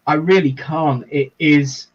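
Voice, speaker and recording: A man's voice: on the high side, steady tone. A man co-hosting a livestream as a reverend, chatting casually and chuckling often. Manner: chuckling, easygoing, drawn-out phrasing. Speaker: reverend co-host. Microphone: video call. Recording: dull lo-fi livestream audio.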